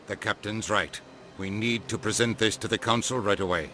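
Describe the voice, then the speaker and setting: An actor playing an irritable, curt human ambassador in a video game. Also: male voice, lowish voice, steady tone, normal speed, sharp tone, a little hiss or hum. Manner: curt, exasperated.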